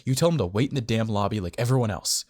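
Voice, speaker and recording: A man's voice: on the low side, moderate pace, a little mellow, close to an everyday voice. Man playing a brusque, impatient doctor. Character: brusque, impatient, callous male emergency doctor.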